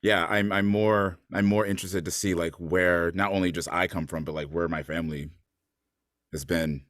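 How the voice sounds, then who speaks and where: A man's voice: low voice, fairly even intonation, moderate pace, a bit bright, dry recording, studio quality. A man talking casually and thoughtfully as a performer in a panel conversation. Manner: informal, thoughtful, frequent 'like'.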